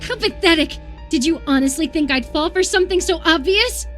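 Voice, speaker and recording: An obsessive, unhinged lover from a horror game, with a high voice over clear background music. The delivery is intense and volatile, sliding between tender devotion and menace. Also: female voice, a little fast. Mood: scornful. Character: unhinged, obsessive lover convinced an impostor replaced their beloved. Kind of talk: dramatic horror visual novel voice acting.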